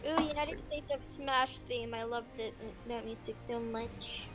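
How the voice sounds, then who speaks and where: A woman's voice: a little high, fairly even intonation, unhurried. A woman chatting playfully on a group live stream, tossing in questions and reading out viewer comments. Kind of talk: casual live stream chat. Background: background music.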